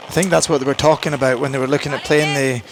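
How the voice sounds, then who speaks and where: A man giving live commentary on a curling match, talking in halting bursts full of fillers and restarts. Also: mid-range voice, fairly even intonation. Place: curling arena. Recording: arena crowd noise behind.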